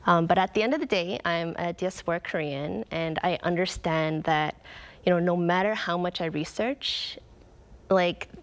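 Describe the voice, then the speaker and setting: A woman's voice: on the low side, moderate pace. A young woman author from Canada giving earnest, reflective interview answers, with frequent "um" and "like". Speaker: author guest.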